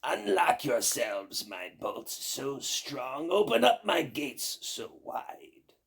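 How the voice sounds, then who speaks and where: A man voicing a bossy, threatening old witch from a folk tale, with a raspy, creaky voice and slow theatrical commands. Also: medium pitch, big pitch swings, fairly bright tone, heavily acted. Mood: commanding.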